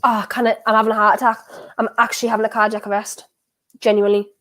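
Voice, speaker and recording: Woman streamer, softened Durham accent, higher voice, nervy and rambling, live match reaction. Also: quick pace. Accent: County Durham, softened. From North East England. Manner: anxious, excitable, rambling and self-repeating. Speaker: football watchalong streamer.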